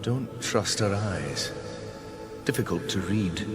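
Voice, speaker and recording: An actor playing a quiet, perceptive middle-aged Scottish man in a radio drama, troubled and gentle, with a measured, understated delivery. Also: lowish voice, lively intonation, loud music behind the voice. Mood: preoccupied, gentle. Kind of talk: naturalistic radio drama acting.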